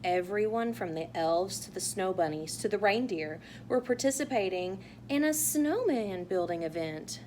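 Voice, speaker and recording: A woman reading a picture book aloud to young children in a playful, animated way, asking exaggerated wondering questions. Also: mid-range voice, normal speed, few pauses, slight background noise. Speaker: children's read-aloud host.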